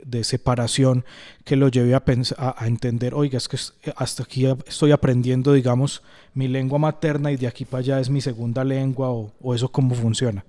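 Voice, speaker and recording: Man, interviewer, medium pitch, hesitant, thinking aloud. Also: warm tone. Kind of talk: interview questions.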